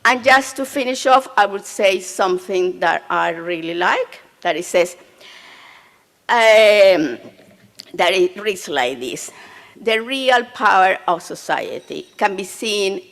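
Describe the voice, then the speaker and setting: A woman finishing a formal speech slowly and deliberately, quoting from her notes. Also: mid-range voice, lively intonation, fairly bright tone. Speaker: speaker at a parliament event. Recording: long hall reverb.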